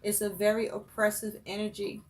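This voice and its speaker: A woman giving a tarot reading for her viewers, listing phrase after phrase in a slow, measured way. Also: medium pitch, level tone, darkish tone. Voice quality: voice rough and breaking, coughing. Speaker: tarot reader.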